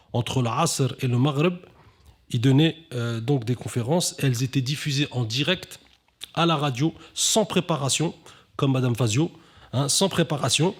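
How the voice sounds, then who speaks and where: A man hosting a live talk, animated, who often drops Arabic religious phrases and lifts his voice to stress points. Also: slightly rough. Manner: animated, rising pitch when stressing points. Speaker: conference host. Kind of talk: conversational talk on stage.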